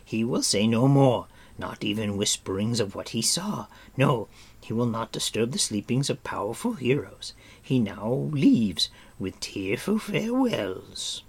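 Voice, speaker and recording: A man's voice: mid-range voice, very animated, measured pace, gravelly, slightly rough, heavily acted. A man voicing a whimpering, servile wild creature in an audiobook, fawning and pitying himself in the third person. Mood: obsequious, wounded. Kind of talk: audiobook character voice.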